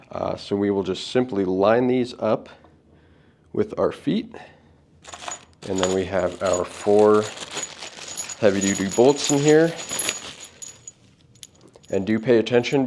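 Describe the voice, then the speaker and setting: Casual man with a lowish voice, explaining steps. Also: darkish tone. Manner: informal, plain-spoken instruction. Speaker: product unboxing host. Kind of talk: casual step-by-step tutorial.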